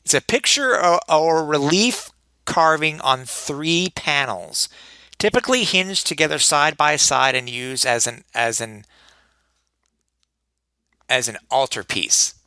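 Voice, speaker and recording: Bright-voiced man chatting, dry sound. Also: a little high, lively intonation, clear, resonant tone, no room sound. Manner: halting, often repeats words. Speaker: sports podcast co-host. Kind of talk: casual chat.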